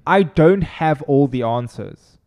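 A man's voice: a little high, animated, takes it slowly, a little mellow. A man whose first language is Afrikaans, a chiropractor guest explaining his methods eagerly in a casual podcast interview.

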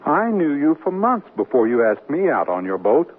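A man's voice with big pitch swings a grumbling, jealous husband in a radio comedy. He is folksy, blustering and sarcastic. The old recording is muffled and noisy. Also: big pitch swings, moderate pace, slightly rough. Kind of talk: 1970s American radio comedy acting.

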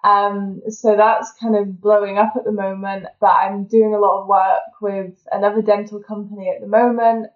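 A young English woman with a neutral accent talks in a relaxed, chatty way, peppering her speech with "kind of" and little laughs. Her voice comes through a roomy space on a slightly dull, lo-fi line. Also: mid-range voice, normal speed, few pauses. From England. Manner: chatty, laughs often, many fillers like kind of. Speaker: dental therapist and food blogger, guest. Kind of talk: casual interview chat.

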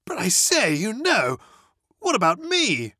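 A reader voicing a giddy young male aristocrat, breathless and exuberant, in a high, chirpy voice. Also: wildly swooping pitch, slow, bright, forceful tone, no echo, studio quality, exaggerated voice.

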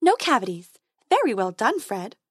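A woman's voice: fairly high pitch, very animated, normal speed, husky, no echo, clean studio sound. A woman voicing a kind, capable tooth fairy dentist in a storybook, with a light, polite manner. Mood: cheerful. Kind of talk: storybook character voice.

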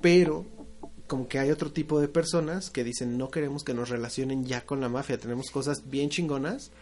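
A man's voice: mid-range voice, steady tone, a little fast, darkish tone. Joking young Mexican man, casual chat. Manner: crude, joking, storytelling. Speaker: podcast co-host.

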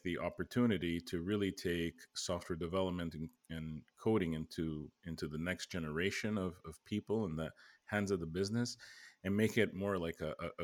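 A man with a very low voice thinks out loud on a casual tech podcast. He rambles and reflects, circling back as he goes. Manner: rambling, many fillers and restarts, reflective. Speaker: podcast co-host.

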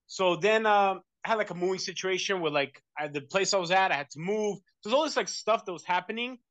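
A man's voice: high voice, fast talker, a bit bright, no room sound, muffled recording. Rambling man with a dry sound. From United States. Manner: rambling, many fillers and restarts. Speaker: interviewee. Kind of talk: casual conversation.

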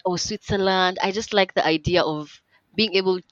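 A woman's voice: lowish voice, moderate pace, no echo. A young woman in her twenties, a podcast guest talking in a chatty, rambling way with lots of fillers and restarts. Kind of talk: casual podcast interview. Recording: dull lo-fi dry recording.

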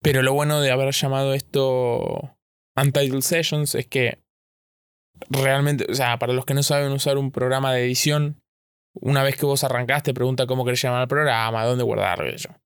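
A man's voice: medium pitch, level tone, moderate pace, clean tone, dry recording, studio quality. A man from Argentina with an Argentine accent, recording a casual solo podcast, thinking aloud in a rambling way and often correcting himself mid-sentence. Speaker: podcast host.

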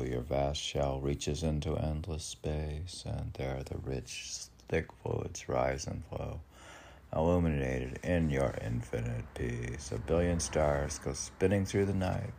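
Older guy doing a loose poetry reading, super low gravelly voice, halting and musing to himself. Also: darkish tone. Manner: halting, musing, talks to himself in question and answer. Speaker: poetry reader and podcast host. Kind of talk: informal poetry reading with rambling asides.

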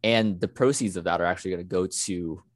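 A man with a notably deep, low-pitched voice, recorded dry with no room sound. He co-hosts a relaxed podcast chat. He speaks earnestly and reflectively, with plenty of "you know" fillers. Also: a little fast, dry recording. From United States. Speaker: podcast co-host. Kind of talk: casual podcast conversation.

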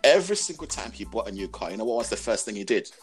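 A young man with a very deep voice that moves up and down expressively. He tells stories with dry humour in a relaxed podcast chat, with faint music playing behind him. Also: very fast. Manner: animated storyteller, dry humour. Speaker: podcast co-host. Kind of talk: casual storytelling chat.

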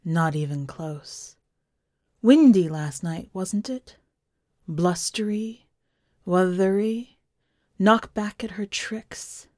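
A woman voicing a plain-spoken, affectionate, puzzled husband in a story, low-key and matter-of-fact. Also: slow pace, long pauses, a little mellow, dry recording, studio quality, natural voice. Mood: wary, questioning.

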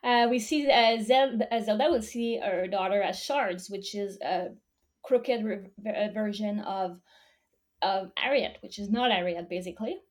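A woman's voice: medium pitch, measured pace. A woman co-hosting a casual chat, recapping a show, talking with many fillers and restarts and laughing often. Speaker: podcast co-host.

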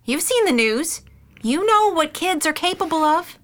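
A woman's voice: extremely high pitch, lively intonation, moderate pace, fairly bright tone. A woman playing a caring, anxious middle-aged aunt in an audio drama, earnest and pleading. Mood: earnest, worried.

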